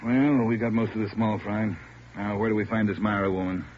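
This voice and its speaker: Casting: male actor as authoritative American police chief, lowish voice, brisk and businesslike, muffled vintage radio drama. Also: steady tone, lightly acted. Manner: questioning, businesslike. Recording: muffled, hissy old radio recording.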